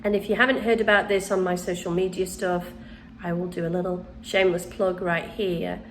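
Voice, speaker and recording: A woman's voice: on the low side, level tone, fairly quick, slight background noise. A woman host chatting casually and rambling a little, still congested after the flu. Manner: rambling, chatty, with restarts and ums. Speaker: channel host, witchcraft crafts teacher. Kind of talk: casual chat to camera.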